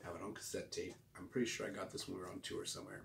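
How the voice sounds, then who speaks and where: A man with a fairly low voice talks in a relaxed, slangy, show-and-tell vlog style. He rambles casually as he goes. The sound is quite roomy, with noticeable noise behind him. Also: rapid-fire. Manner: laid-back, rambling, slangy. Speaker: host of a record collecting video channel. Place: small home room, indoors. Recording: roomy, distant mic with clear background noise.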